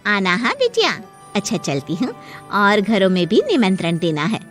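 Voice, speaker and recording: A woman's voice: medium pitch, sing-song, moderate pace, fairly bright tone, soft background music, voice pushed down. A woman playing a friendly, generous neighbour in a cartoon story, chatty, familiar and insistent. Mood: inviting.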